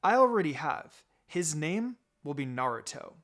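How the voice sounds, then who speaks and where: A man's voice: a little high, sing-song, normal speed, a bit bright. A man voicing a sweet expectant young mother in a read-aloud anime story, gushing and cooing. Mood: proud.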